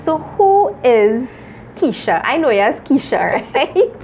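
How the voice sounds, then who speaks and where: A woman hosting a talk show, earnest and measured, laughing often with her guest. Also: high voice, sing-song, lo-fi sound, a little hiss or hum. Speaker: host.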